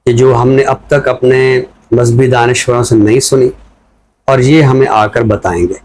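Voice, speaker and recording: A man with a Pakistani accent giving reflective, conversational commentary as a scholar, explaining things in a measured way. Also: medium pitch, fairly even intonation, a little mellow, low-quality recording. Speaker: scholar commentator on a talk show.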